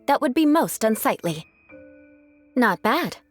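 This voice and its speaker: A woman playing a proud, composed young aristocratic knight in a fantasy game, speaking in formal, measured, haughty phrases. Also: on the high side, big pitch swings, soft background music, exaggerated voice. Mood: poised, instructive. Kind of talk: anime fantasy game voice acting.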